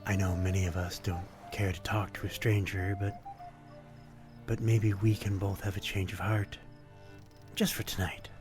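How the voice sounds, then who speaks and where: A man playing a courtly, melancholy vampire stranger in an intimate ASMR roleplay, speaking in a formal, old-fashioned, gently wistful way. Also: sits low, flat delivery, moderate pace, music in the background, lightly acted. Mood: soothing, inviting.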